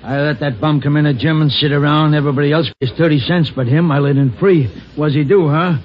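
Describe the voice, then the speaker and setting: A man playing a gruff, grumbling American boxing gym owner with blunt, slangy tough-guy talk in a vintage radio drama. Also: a little high, steady tone, a little fast, lightly acted. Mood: indignant. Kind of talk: 1950s radio drama acting. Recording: muffled old radio recording.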